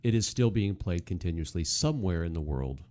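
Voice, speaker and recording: A man's voice: low voice, animated, a little fast, a little mellow. A man co-hosting a podcast, giving casual conversational commentary in a hesitant, explanatory storytelling way. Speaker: podcast co-host.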